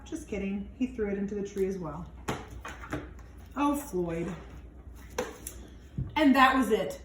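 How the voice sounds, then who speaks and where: A woman with a slightly high voice reads a children's story expressively. She leans on key words and slips into chatty asides for young listeners. The room is quite echoey, with faint noise in the background. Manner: animated, drawn-out emphasis, wondering aloud to young listeners. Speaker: storyteller reading a picture book to children.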